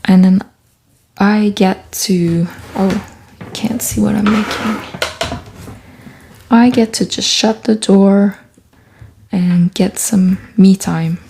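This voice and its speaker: Soft, slow-talking woman. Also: medium pitch, steady tone, slight background noise. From Quebec, Canada. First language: French. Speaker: artist and author vlogger. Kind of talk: casual talk while doing collage. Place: small home studio room.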